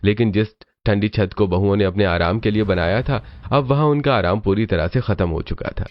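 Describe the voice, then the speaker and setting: A man narrates a scripted story in a low voice. The recording is a little dull and lo-fi, as if the top end were trimmed. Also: brisk, no room sound.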